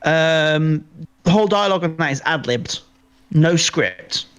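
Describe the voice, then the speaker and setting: A man's voice: on the high side, lively intonation, a little slow, a bit bright, clear, resonant tone, lo-fi sound. A man co-hosting a film podcast in casual chat, rambling and often restarting his words. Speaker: podcast co-host discussing films.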